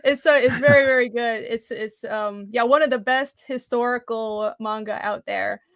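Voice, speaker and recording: A woman's voice: a little high, normal speed, a bit bright, no room sound. A young woman from Taiwan talking about her comics in a casual interview, earnest and thoughtful with many fillers. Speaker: comic creator guest.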